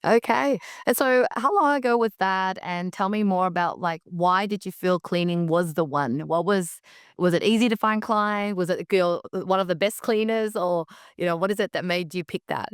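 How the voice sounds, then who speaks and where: A woman's voice: lowish voice, normal speed, clear, resonant tone, no echo, clean studio sound. A woman hosting a podcast interview, friendly and curious, asking long strings of questions. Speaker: podcast host. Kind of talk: casual interview.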